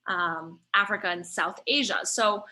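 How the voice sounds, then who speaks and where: A woman's voice: medium pitch, unhurried, bright, forceful tone. A woman in her thirties, born in China, answering interview questions thoughtfully over a remote call, with frequent fillers and restarts. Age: 30s. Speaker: guest, startup founder.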